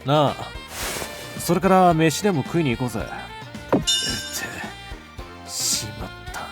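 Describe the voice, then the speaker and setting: A man playing a cool, curt high school boy with rough, blunt speech in an anime-style voice drama. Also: sing-song, slow. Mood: casual, blunt. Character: cool, gruff high school heartthrob boy, secretly flustered. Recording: music behind the voice. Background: background music.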